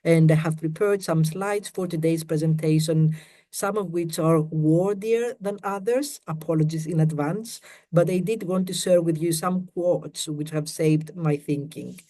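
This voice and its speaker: Brief: Greek-accented male academic, mellow voice, measured pace, reading a prepared talk over a video call. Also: fairly high pitch. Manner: careful, measured reading of prepared text with quotes. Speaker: academic panellist. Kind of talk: academic webinar presentation.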